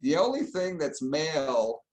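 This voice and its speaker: A man's voice: fairly high pitch, big pitch swings, measured pace, lo-fi sound. Middle-aged man explaining patiently. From Argentina. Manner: explanatory, easygoing storyteller. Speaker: plastic surgeon, guest. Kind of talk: casual interview.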